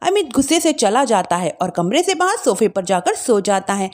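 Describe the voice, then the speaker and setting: A woman narrating a scripted domestic story in an expressive storytelling style. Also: medium pitch, moderate pace.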